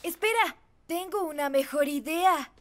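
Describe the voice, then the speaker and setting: A woman voicing an excitable, boastful talking owl who raps in a children's cartoon, theatrical and exclaiming. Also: high-pitched, measured pace. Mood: busy, upbeat. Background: loud music.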